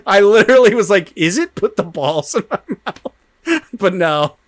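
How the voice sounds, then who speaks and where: A man hosting a casual interview, chatty and quick to laugh, with halting, filler-heavy phrasing. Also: high-pitched, sing-song, no room sound. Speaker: podcast host.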